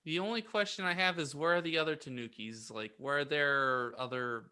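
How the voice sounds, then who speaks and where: A man's voice: on the high side, moderate pace, sharp tone, clear, resonant tone. A man co-hosting a podcast, chatting and joking casually with the others. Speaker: podcast co-host.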